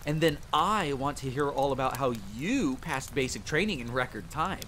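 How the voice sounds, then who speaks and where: A man's voice: medium pitch, expressive, normal speed, a bit bright, a little hiss or hum, close to an everyday voice. A man voicing an eager, friendly, boyish vampire hunter in an ASMR roleplay, chatty and easily flustered. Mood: excited. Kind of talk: dramatic ASMR roleplay audio drama. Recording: faint rain ambience behind. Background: rain ambience.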